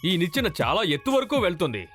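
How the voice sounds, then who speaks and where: A man's voice: sits high, big pitch swings, rapid-fire. A man voicing a bossy, easily exasperated firefighting trainer in a cartoon dub. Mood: instructing. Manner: instructive, scolding, shouting in alarm. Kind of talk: animated cartoon dub acting. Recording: music behind the voice.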